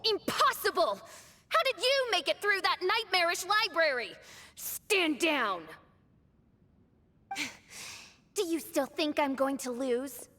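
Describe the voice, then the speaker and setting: A woman's voice: very high voice, animated, slow, long pauses, pushed and bright, heavily acted. A woman voicing a proud young princess in a video game, with a dramatic, declamatory and grandiose delivery. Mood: defiant, impassioned. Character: proud, theatrical young princess facing her mocking dark self. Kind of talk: theatrical video game voice acting.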